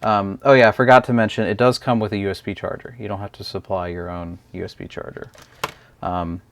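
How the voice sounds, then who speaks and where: A man's voice: lowish voice, level tone, fairly quick, slight background noise. A man giving a casual, unscripted hands-on product review, explaining step by step in a hesitant way.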